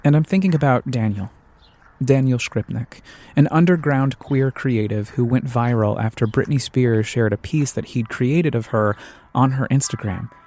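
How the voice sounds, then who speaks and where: A man hosting a podcast, reading scripted, reflective narration in a measured, earnest way. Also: medium pitch, darkish tone. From United States.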